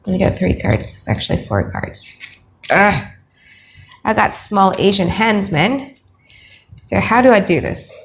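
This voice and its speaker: A young woman from Indonesia with an Indonesian accent, giving a blunt, chatty tarot reading to camera from home. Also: medium pitch. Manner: chatty, blunt, thinks aloud while laying out cards. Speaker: tarot reader. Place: home room, children heard nearby.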